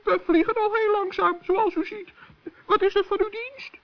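A performer playing a small, polite, anxious male forest gnome in a children's radio play, with a squeaky, hesitant voice. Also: steady tone, normal speed. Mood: polite, puzzled. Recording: dull old radio play recording.

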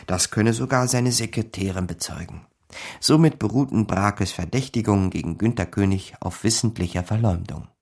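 A man's voice: on the low side, moderate pace. An actor playing a wry small-time con man who tells his own story in a radio drama, dry and matter-of-fact. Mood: dry, satisfied. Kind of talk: scripted first-person radio drama narration.

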